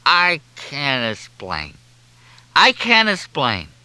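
A man voicing a whiny male newspaper heir who pleads in a maudlin, tipsy, drawn-out way. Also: medium pitch, sing-song, bright, forceful tone, dry recording, pitched above the performer's own voice. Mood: tearful pleading.

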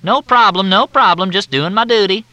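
A man's voice: sits high, normal speed, bright, forceful tone, no room sound, lo-fi sound, pitched above the performer's own voice. A man voicing a courteous Southern army major with a thick drawl that makes everything sound like a question. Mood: folksy, chatty.

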